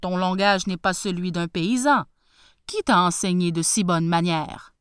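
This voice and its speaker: A woman's voice: on the low side, measured pace, clear, resonant tone, no echo, clean studio sound, natural voice. A woman voicing a vain, proud tsar who commands and threatens. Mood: haughty. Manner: haughty and commanding.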